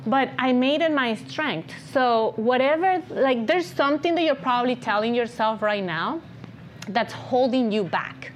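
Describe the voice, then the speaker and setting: A woman's voice: a little high, expressive, unhurried, a little hiss or hum, rough recording. A woman with a non-native English accent giving an encouraging, conversational business talk and often checking in with "right?". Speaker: guest speaker, agency founder and CEO.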